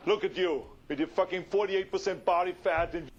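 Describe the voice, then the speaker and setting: An actor playing a furious man who shouts profane insults at someone, heard as a film clip. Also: high voice, fairly quick. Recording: muffled film clip, faint noise.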